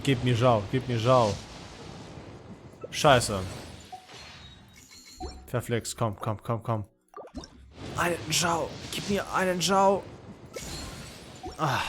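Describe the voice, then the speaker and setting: A man's voice: medium pitch, lively intonation, slow pace, pauses often, fairly bright tone, faint music behind. A man who is a native German speaker, giving casual, slangy reactions as a live game streamer. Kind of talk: casual gaming stream commentary.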